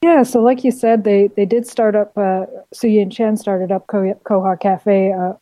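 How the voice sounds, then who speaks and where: A woman's voice: mid-range voice, fairly even intonation, unhurried, dark tone, no echo. A woman with a foreign accent, a community cafe committee member being interviewed on radio, explaining in a friendly way with many fillers. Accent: non-Australian, foreign.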